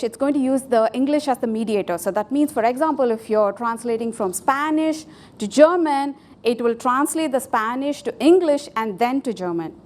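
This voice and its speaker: Woman whose first language is Tamil, conference presenter, fairly high voice, loose and hesitant delivery, faint background hum. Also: a little mellow. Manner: informal, hesitant, with fillers and restarts. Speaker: conference speaker. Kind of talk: technical conference talk with live demo. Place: conference hall.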